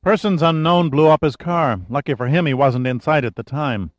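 A male narrator voicing a brisk, wisecracking young woman secretary on a phone call. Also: fairly high pitch, dry recording, voice pushed up, natural voice. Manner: wisecracking, quick, efficient.

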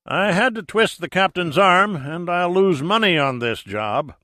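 Guy putting on a gruff wily old dad who owns ships, audiobook, pitched up and forceful. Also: lively intonation, a little slow, no room sound, studio quality, exaggerated voice. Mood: grumbling. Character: gruff, wily older father, wealthy shipowner. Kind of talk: audiobook character dialogue.

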